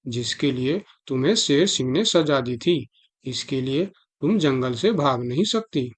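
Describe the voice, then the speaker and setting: A man's voice: mid-range voice, expressive, measured pace. A man voicing a dutiful, suspicious elephant guard in a Hindi children's cartoon, with a stern, questioning manner.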